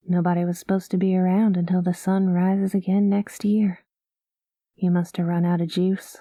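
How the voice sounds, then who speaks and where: A woman voicing a kind, easygoing space cowgirl pilot in an ASMR roleplay, talking gently in a folksy cowboy manner. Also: on the low side, no room sound, studio quality, close to an everyday voice. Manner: folksy drawling cowboy talk, calls listener partner; gentle and soothing.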